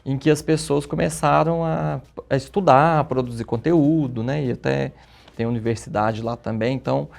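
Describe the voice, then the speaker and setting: A man's voice: medium pitch, steady tone, moderate pace, gravelly. A middle-aged man from Minas Gerais with a mineiro accent, a guest telling stories in a casual interview and often tagging his sentences with questions. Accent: Minas Gerais Portuguese. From Minas Gerais, Brazil. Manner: warm, storytelling, frequent tag questions.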